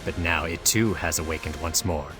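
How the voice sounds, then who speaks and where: An actor playing a solemn mystic mentor in a fantasy video game, speaking in a measured, formal way. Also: male voice, very deep voice, steady tone. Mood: solemn, grave. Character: solemn male mystic mentor guiding a warrior. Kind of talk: scripted game dialogue. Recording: loud music behind. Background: game music.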